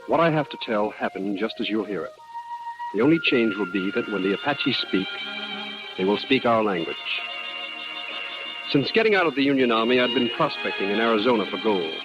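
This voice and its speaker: Actor as low-voiced plainspoken frontier prospector. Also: male voice, animated, a little fast, lightly acted. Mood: measured, grave. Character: plainspoken former soldier turned prospector telling his own story. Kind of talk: 1950s radio drama narration. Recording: dull old radio recording, loud music behind.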